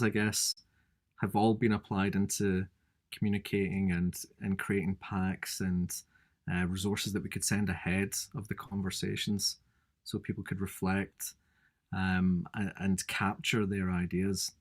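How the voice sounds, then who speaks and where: A man with a low voice speaks in a flat, even tone. As a guest on a video-call panel, he answers in a thoughtful, halting way and often starts his sentences over. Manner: thoughtful, halting, with frequent restarts. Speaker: design researcher, panel guest.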